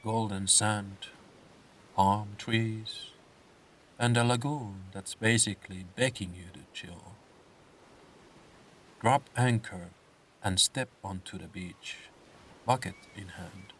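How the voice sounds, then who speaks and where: Male meditation narrator: deep register, very slow pacing, soothing scripted delivery, sounding a little distant from the mic. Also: a bit bright, husky. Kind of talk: slow scripted guided meditation with comic swearing.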